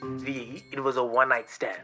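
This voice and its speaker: A man's voice: medium pitch, flat delivery, normal speed, over music, close to an everyday voice. An actor playing a cocky, blunt young man with a Zimbabwean accent in an audio drama, emphatic and argumentative. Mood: dismissive. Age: young adult. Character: Taf, cocky, blunt young male best friend. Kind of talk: naturalistic podcast audio drama.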